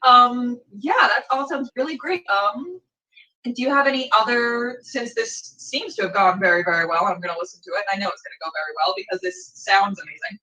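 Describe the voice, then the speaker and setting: A woman's voice: a little high, fairly quick, bright, forceful tone, far from the mic, rough recording. A woman hosting an interview, chatting informally and effusively with a joking touch. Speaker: podcast host and interviewer. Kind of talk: casual interview chat.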